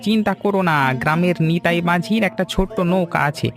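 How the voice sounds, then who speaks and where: A reassuring older village uncle in an animated story, acted by a man. His voice is on the high side for a man and kindly. Music plays clearly behind him. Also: a little fast. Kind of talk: cartoon voice acting.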